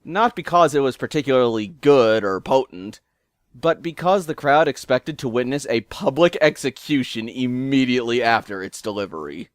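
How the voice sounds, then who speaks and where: A man's voice: medium pitch, animated, normal speed, dry recording, studio quality. A man reading scripted story narration in a theatrical, wordy storytelling manner.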